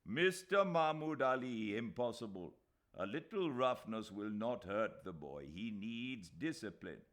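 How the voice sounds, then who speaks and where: A man's voice: on the low side, animated, a little slow, fairly bright tone, voice pushed up. A male reader voicing a cautious old nobleman who implores and protests. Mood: protesting.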